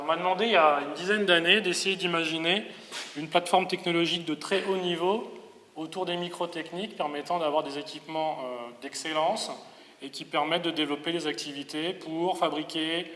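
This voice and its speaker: In a reverberant hall, a man in his forties with a metropolitan French accent delivers a public lecture. His voice sits a little high for a man. He explains like a professor, hesitantly rewording as he goes. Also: fairly bright tone. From Paris region, France. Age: 40s. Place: large reverberant lecture venue. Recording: echoey hall with long reverb.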